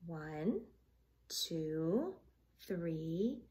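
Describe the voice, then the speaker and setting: A woman in her thirties reading a picture book aloud to children like a teacher, in an expressive sing-song way, pausing to put simple questions to them. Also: deep voice. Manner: expressive, sing-song storytelling with questions addressed to young listeners. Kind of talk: children's read-along with simple math questions. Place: living room at home.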